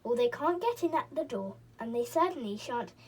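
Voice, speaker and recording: A bossy, excitable male character from a storybook barks out brisk orders with firm decision, as a woman reads him aloud. His voice sits only a little above the reader's own and swings about in a lively way. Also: a little high, slight background noise, close to an everyday voice. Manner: brisk, decided.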